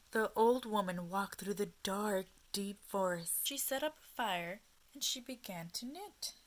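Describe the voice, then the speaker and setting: Bright, unhurried woman reading to children. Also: mid-range voice. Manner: expressive, child-directed, with pauses and questions to listeners. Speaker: storyteller for young children at a school. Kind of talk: children's storybook read-aloud.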